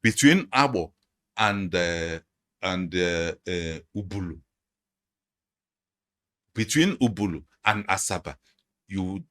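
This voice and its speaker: Low-voiced man, emphatic and repetitive. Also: animated, slow, pauses often, fairly bright tone. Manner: emphatic, repeats phrases while explaining. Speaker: guest analyst. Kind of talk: conversational interview.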